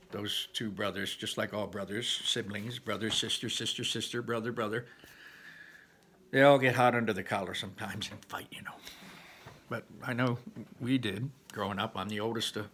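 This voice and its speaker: A man reading a storybook aloud in an animated manner, stepping out for chatty explanations to his listeners. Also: on the low side, breathy edge.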